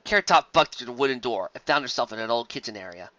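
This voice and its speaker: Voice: mid-range voice, animated, quick pace, fairly bright tone, no echo, muffled recording. A reader narrating a fan story aloud, with a plain delivery and occasional slips.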